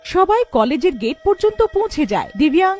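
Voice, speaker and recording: A woman narrating an animated story in a scripted storytelling style. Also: very high voice, a little fast, faint music behind.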